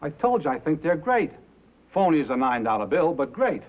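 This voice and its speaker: Offhand, wisecracking radio staffer, rapid delivery, dull vintage film sound. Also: male voice, on the high side, very animated, a little hiss or hum. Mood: cynical. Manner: matter-of-fact, wisecracking. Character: cynical, pragmatic radio colleague, brisk and offhand. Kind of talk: 1950s film drama dialogue.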